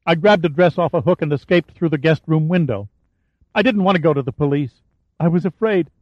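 Man doing a panicky young woman, raised high and breathless, dull dry recording. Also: fairly quick, dry recording, lightly acted. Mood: panicked. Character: frightened sobbing young woman.

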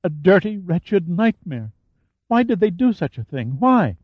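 A man's voice: high-pitched, big pitch swings, measured pace, dry recording, pitched above the performer's own voice, full character voice. A male narrator voicing a frightened young woman who pleads in a breathless, panicky way. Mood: pleading. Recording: dull, muffled dry recording.

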